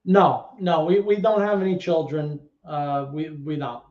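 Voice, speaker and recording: Echoey lo-fi New man, animated. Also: on the high side. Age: 30s. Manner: animated storyteller with quick asides. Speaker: guest. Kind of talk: casual interview chat. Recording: echoey lo-fi call audio.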